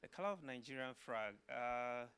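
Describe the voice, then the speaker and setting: A middle-aged man from Ghana, a professor answering interview questions in a measured, explanatory way with some filler uhs. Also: mid-range voice, animated, a bit bright, smooth. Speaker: guest, social work professor.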